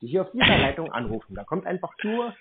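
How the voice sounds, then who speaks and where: A man with a fairly high, animated voice argues scornfully and insistently, repeating himself at speed. The audio is muffled, like a lo-fi phone call. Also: fast, over a noisy crowd. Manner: scornful, insistent, repetitive, talks over the other. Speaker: host taking a scam call. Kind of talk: argumentative phone conversation.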